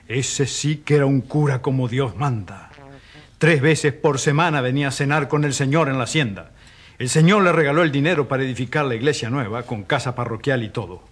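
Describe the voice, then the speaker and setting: An actor playing a pious, servile hacienda steward who talks in a deferential, gossipy, complaining way in a radio drama. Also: male voice, mid-range voice, brisk, close to an everyday voice. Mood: reverent, smug.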